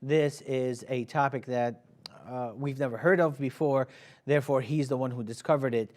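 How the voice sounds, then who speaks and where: A man's voice: medium pitch, fairly even intonation, moderate pace, darkish tone. A man whose first language is Arabic, making an earnest, argumentative case in a religious debate, with frequent hesitations.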